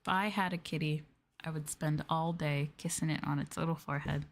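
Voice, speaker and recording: Low-pitched woman, soft ASMR chat. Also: steady tone, brisk. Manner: soft-spoken, gushing, affectionate, drifts into near-whispers. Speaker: ASMR streamer, VTuber host. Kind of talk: ASMR soft talking, casual chat with viewers. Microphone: close ASMR microphone.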